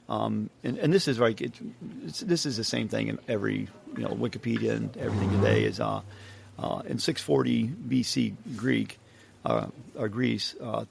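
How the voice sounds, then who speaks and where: Gravelly man reading history notes. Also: mid-range voice, a little mellow, slight background noise. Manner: hesitant, many ums, laughs along. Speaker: podcast host. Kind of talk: casual chat reading notes.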